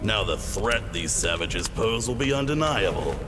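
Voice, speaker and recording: A cruel military captain. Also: male voice, fairly low pitch, animated, a little slow, noisy background. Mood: gloating. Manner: cold, contemptuous, commanding. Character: ruthless male military captain, cold and cruel. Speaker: commander of invading soldiers.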